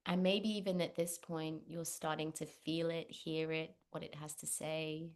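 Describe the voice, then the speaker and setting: A woman leading a guided meditation, speaking gently and unhurriedly with long pauses. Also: deep voice, little pitch movement. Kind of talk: guided meditation, spoken instruction.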